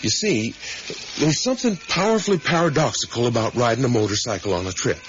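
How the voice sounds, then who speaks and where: A man acting an eager, intellectual middle-aged father in a 1980s radio play. He muses at length and stresses his points, with a widely swinging pitch. The recording is dull, with faint noise. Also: lively intonation, fairly quick, lightly acted. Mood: musing, animated. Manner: rambling, ruminative, emphatic. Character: musing, eager academic father on a motorcycle trip with his son.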